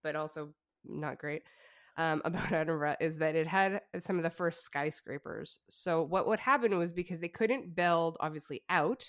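A woman's voice: deep voice, moderate pace, fairly bright tone. A woman co-hosting a podcast, telling a story in casual chat with fillers and laughs. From Canada. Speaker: podcast co-host.

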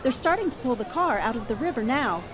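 An actress playing an earnest, polite newspaper reporter in the style of 1940s radio drama. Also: female voice, fairly high pitch, sing-song, fast talker, a crowd in the background. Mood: earnest, sober.

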